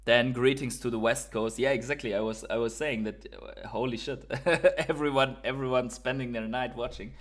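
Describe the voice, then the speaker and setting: A man's voice: medium pitch, fairly even intonation, normal speed, few pauses. Male streamer, native German speaker with German accent, mid-range voice, enthusiastic and informal live commentary. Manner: enthusiastic, informal, reading out and answering viewer messages. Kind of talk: casual live-stream chat and market commentary.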